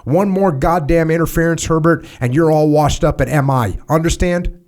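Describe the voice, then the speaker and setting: A man voicing a furious, bullying senior army officer who rants and shouts at a subordinate. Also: on the high side, normal speed, pitched above the performer's own voice, natural voice. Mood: ranting. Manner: ranting, shouting. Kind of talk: acted dialogue in a memoir reading.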